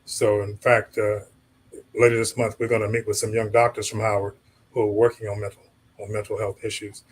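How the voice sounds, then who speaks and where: A man's voice: on the low side, level tone, fairly quick, husky, poor audio. A man moderating a community panel, chatting casually and directing questions to the speakers. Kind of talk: panel discussion.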